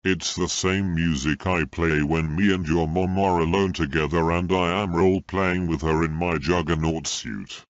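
Smug deep deadpan man, lo-fi. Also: normal speed, hardly any pauses, dry recording. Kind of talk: mocking voice-over. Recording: dull lo-fi audio.

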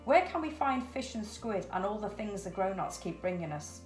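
A woman's voice: mid-range voice, very animated, normal speed, soft background music, natural voice. A woman voicing an eager, curious young male penguin chick in a storybook, full of keen questions and exclamations. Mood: questioning. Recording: roomy echo.

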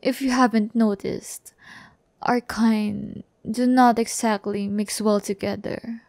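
Slow, soft-toned teasing demon girl played by a woman. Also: on the high side, steady tone, dry recording, clean studio sound. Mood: exasperated, disdainful. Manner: breathy, unhurried, teasing, often dropping to a whisper. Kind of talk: intimate ASMR audio roleplay. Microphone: close-up microphone.

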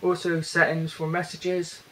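A young British man casually walking through a phone's features in a bedroom, talking haltingly with many fillers and restarts. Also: a little high, completely flat delivery, a bit bright, slight background noise, rough recording. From Britain. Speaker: tech reviewer. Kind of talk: casual hands-on product walkthrough. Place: indoors, bedroom.

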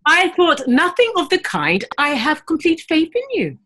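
A woman's voice: sits high, very animated, moderate pace, fairly bright tone. An actress playing a sensible, affectionate witch mother with a wry, composed manner, in a stage play table read over video call. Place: living room at home, video call, webcam.

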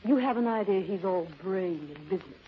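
An actress playing a sly, flirtatious, worldly former secretary with a condescending, theatrical manner in a 1930s radio drama. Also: female voice, mid-range voice, expressive, fairly quick, close to an everyday voice. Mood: sultry, teasing. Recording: muffled, noisy old 1930s radio recording.

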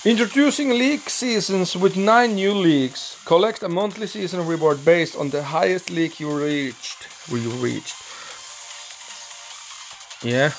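A young man from Finland, speaking English with a Finnish accent as his second language, commenting excitedly and swearing while recording a mobile game. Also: sits high, a little slow, faint music behind. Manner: swearing, excitable, thinking aloud, broken English. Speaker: gaming channel host.

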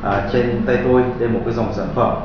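A man casually demonstrating a power tool at a workshop table, pausing often with fillers. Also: medium pitch, steady tone, muffled recording, noisy background. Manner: casual, halting with many fillers. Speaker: product demonstrator. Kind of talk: product unboxing and demo. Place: indoor workshop at a wooden table. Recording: echoey room from far off, loud music under.

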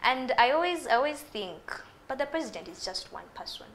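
A young Ugandan woman with a bright, forceful voice and a fairly high pitch makes her points animatedly on a studio talk panel. She speaks into a lapel mic, with a faint hiss in the background. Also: fairly quick. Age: young adult. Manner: animated, gestures while arguing. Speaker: studio guest, poet.

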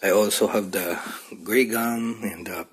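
A man chatting casually about sneakers in a low-key, halting way, recorded on a phone in a bedroom. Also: steady tone, slightly rough. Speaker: sneaker reviewer. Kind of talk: casual unboxing chat. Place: small bedroom, indoors.

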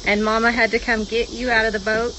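A mother filming her small daughter, coaxing her with gentle questions. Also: on the high side, level tone, quick pace. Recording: noisy outdoor phone clip.

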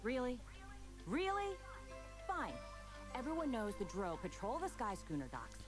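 A woman's voice: fairly high pitch, wildly swooping pitch, a little slow, music in the background. An actress playing a young, wry, capable female animal adventurer in a cartoon video game, confident and sarcastic.

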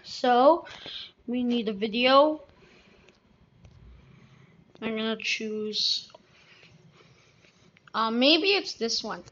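A woman with a fairly high voice talks through a casual tutorial. She goes very slowly, in a halting, step-by-step way. The sound is somewhat dull and lo-fi. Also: animated, a bit bright. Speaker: tutorial host. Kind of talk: casual screen-recorded tutorial. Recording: dull lo-fi sound, background noise.

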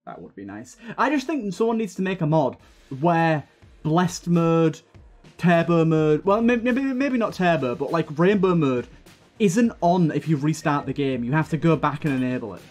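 A man's voice: fairly high pitch, big pitch swings, moderate pace, darkish tone, faint music behind. A man hosting a gaming video with casual, sweary, grumbling commentary.

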